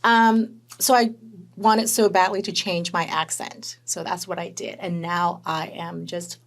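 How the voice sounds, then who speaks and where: A woman who grew up in Hong Kong, a guest telling her story in a conversational interview with lively, animated storytelling. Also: lowish voice, a little slow, vocal fry.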